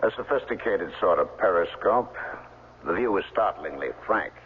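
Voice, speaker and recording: An actor playing a formal, discreet man in a 1970s radio drama, speaking with measured, precise diction. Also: low-pitched, lively intonation. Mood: formal, ominous. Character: formal, discreet man showing a billionaire's secret. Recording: tinny 1970s radio, reverberant, faint noise.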